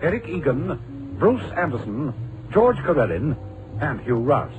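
Male vintage radio announcer, fairly high, lively swoops, formal and measured, music behind. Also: phone-quality sound. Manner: measured, dramatic delivery.

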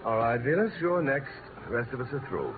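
An actor playing an uneasy, defensive doctor with emphatic speech, in 1950s radio drama style. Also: male voice, medium pitch, sing-song, fairly quick, natural voice. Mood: grave. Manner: stammering, emphatic. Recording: noisy, tinny 1950s radio recording.

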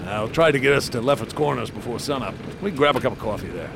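A man's voice: medium pitch, lively intonation, fast talker, creaky, noisy background. An actor playing a chatty, boastful male state trooper detective who laughs at his own stories, in a radio drama.

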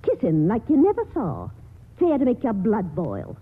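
An actress playing a spiteful, self-righteous meddling busybody in a 1940s radio drama, scolding and sharp-tongued. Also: female voice, fairly high pitch, huge pitch swings, moderate pace, slightly rough, heavily acted. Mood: insinuating. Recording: muffled, tinny old radio broadcast.

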